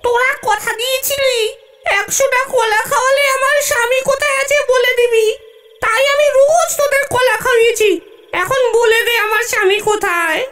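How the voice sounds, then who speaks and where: A woman's voice: extremely high pitch, moderate pace, fairly bright tone. A woman voicing a theatrical, wailing female ghost in a cartoon. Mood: pleading, insistent. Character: shrill female banana-loving ghost searching for lost husband. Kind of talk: cartoon voice acting.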